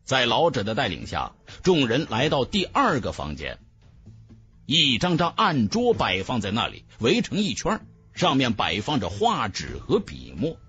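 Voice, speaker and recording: A man's voice: mid-range voice, huge pitch swings, unhurried, a bit bright. A man reading a fantasy novel aloud as dramatic scripted narration. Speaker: audiobook narrator. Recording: music under the voice.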